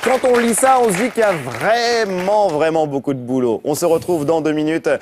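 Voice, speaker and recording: A man hosting a TV talk show, brisk and jokey, wrapping up toward a break. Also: a little high, crowd noise, background noise. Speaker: TV host.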